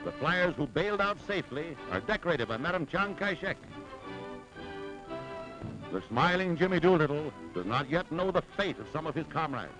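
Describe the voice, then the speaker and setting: A man's voice: on the high side, very animated, moderate pace, poor audio. An American man reading a scripted 1940s newsreel narration in a dramatic, urgent, emphatic manner. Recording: vintage 1940s newsreel soundtrack with music. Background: loud dramatic music.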